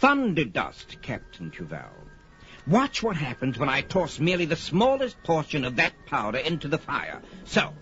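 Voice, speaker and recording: Man acting a smug, high-toned scheming viceroy. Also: wildly swooping pitch, moderate pace, bright, forceful tone, heavily acted. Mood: boastful. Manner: suave, condescending. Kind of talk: pulp fantasy audio drama acting. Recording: dull lo-fi, faint music.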